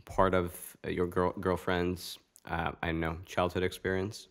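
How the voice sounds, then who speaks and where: Low-voiced man hosting a chat. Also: completely flat delivery, unhurried, gravelly. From Romania, raised in a Hungarian family. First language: Hungarian. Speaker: podcast host. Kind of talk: casual interview chat.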